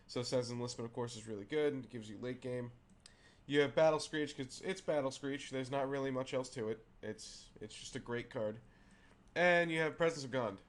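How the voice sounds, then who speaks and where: A man with a raspy voice who is clearly under the weather hosts a casual card game show. He walks through a deck at a middling pitch, hesitating often with fillers and restarts. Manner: halting, many fillers and restarts. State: unwell, raspy voice. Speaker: host.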